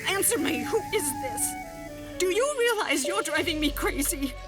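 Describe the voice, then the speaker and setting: A woman's voice: extremely high pitch, expressive, moderate pace, loud music behind the voice. An actress playing a terrified, hysterical woman on the telephone in an old radio thriller.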